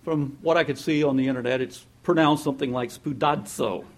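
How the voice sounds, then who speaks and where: A man preaching a sermon in a lively, conversational way, often laughing and acting out what people might say. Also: medium pitch, fairly quick. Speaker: pastor.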